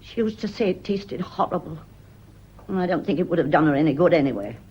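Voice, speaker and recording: An actress playing a spirited housekeeper in a radio drama, deferential on the surface but defensive. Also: female voice, low voice, lively intonation, quick pace, slightly rough. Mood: grudging. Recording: dull, muffled old radio recording.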